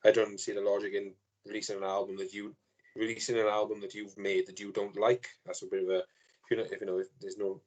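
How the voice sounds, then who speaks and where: A man's voice: fairly low pitch, little pitch movement, fast talker, a little mellow, low-quality recording. A man from Wales with a Welsh accent, a podcast guest chatting in a friendly, slightly hesitant way. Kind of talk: casual chat.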